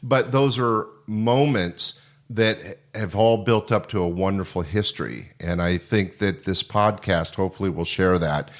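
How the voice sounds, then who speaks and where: A man's voice: deep voice, a little slow. A middle-aged or older American man hosting a casual, conversational interview podcast.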